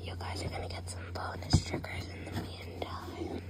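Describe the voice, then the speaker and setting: A woman whispering breathily as an ASMR host, speaking gently and directly to the listener. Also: normal speed.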